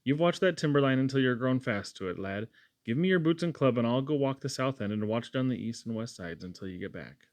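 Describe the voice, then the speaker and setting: A man reads as a warm-hearted housewife from a storybook. She is practical and fussy, scolding in an emphatic, homely way, with her pitch lifted a little and very little room sound. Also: expressive, brisk, no echo, close to an everyday voice. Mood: brisk, insistent.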